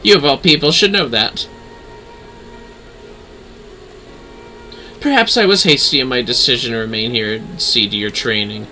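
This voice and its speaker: A man's voice: medium pitch, brisk, bright, forceful tone, slight background noise, pitched below the performer's own voice, low-quality recording. A man voicing a haughty, stern young noblewoman astrologer from a game, formal and scolding. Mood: disdainful. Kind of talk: game dialogue read-aloud.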